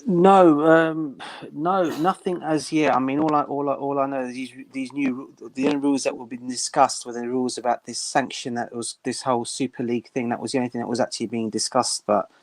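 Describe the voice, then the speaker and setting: A man's voice: medium pitch, fairly even intonation, a little fast, few pauses, no room sound. A man on a casual football discussion panel, answering hesitantly and rephrasing as he goes. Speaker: panel member.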